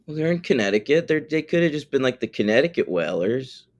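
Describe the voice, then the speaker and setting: A man's voice: mid-range voice, very animated, quick pace. A man co-hosting a podcast, talking casually and animatedly, joking and swearing. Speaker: podcast co-host.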